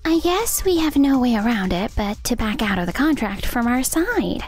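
A woman's voice: fairly high pitch, sing-song, moderate pace, a little mellow, faint music behind. A woman voicing a worried, practical young female office worker in a manga dub, speaking frankly. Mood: worried, apologetic. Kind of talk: manga dub character acting.

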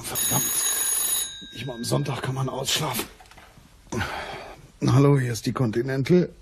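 A man playing a blunt, unyielding private detective in a crime radio play, with a dry, deliberate delivery. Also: medium pitch, steady tone, vocal fry, very noisy, lightly acted. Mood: sleepy, grumpy. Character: hard-boiled, stocky male private detective, blunt and unyielding.

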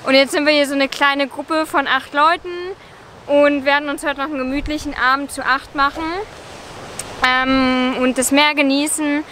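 A young woman with a high voice chats casually into a camera held at arm's length, filling pauses with ums. She is vlogging outdoors by the sea, with faint wind noise behind her. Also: little pitch movement, bright, forceful tone. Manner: chatty, with frequent ums. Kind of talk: casual travel vlog chat. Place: outdoors by a beach, later inside a van.

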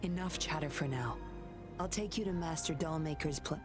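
A secretive young puppeteer woman who teases everyone around her, voiced by an actress. Her voice sits very low for a woman and stays smooth and knowing. Soft game music plays underneath. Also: moderate pace, close to an everyday voice. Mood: teasing. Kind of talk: game voice acting.

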